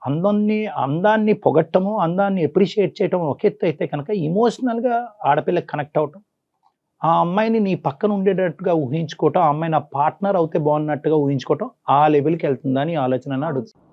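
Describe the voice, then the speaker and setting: A man's voice: a little high, fast talker, muffled recording. A man hosting an interview, persistently questioning and explaining to his guest. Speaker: host and counselor. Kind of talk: probing interview.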